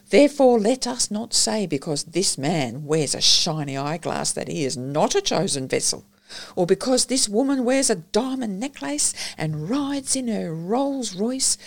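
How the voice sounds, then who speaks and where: A woman with an Australian accent voicing a reformed burglar who now preaches fervently, in an audiobook reading. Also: mid-range voice.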